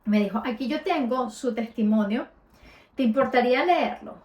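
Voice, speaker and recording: Host latinoamericana, voz más bien aguda, narración personal con titubeos. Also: female voice, a little high, normal speed, reverberant, dull audio. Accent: Latin American Spanish. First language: Spanish. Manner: conversational, with frequent hesitations. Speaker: podcast host. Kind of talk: personal storytelling.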